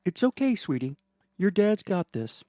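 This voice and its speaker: Man doing a high, plucky little shrunken dad. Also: very animated, unhurried, warm tone, dry recording, clean studio sound. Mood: reassuring. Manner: high-pitched, defiant. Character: tiny plucky dad shrunk into a blue creature. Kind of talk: comic horror storytelling.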